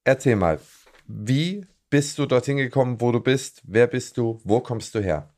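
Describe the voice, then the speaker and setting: A man hosting a podcast, reading a scripted introduction in a measured way and then asking questions in casual conversation. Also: medium pitch, lively intonation, a little mellow. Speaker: podcast host.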